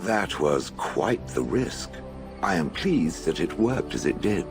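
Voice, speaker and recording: An actor voicing a ponderous, dry stone golem in a video game, speaking in a formal, detached manner. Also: male voice, deep voice, big pitch swings, slow pace, full character voice. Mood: grave, grateful. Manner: formal, detached, calls listener "it". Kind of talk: video game dialogue acting. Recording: game music behind.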